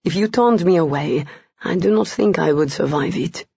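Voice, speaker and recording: A woman reading as a strained, tender male gentleman lover, keeping the voice low and urgent. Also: moderate pace, gravelly, husky, no echo, muffled recording.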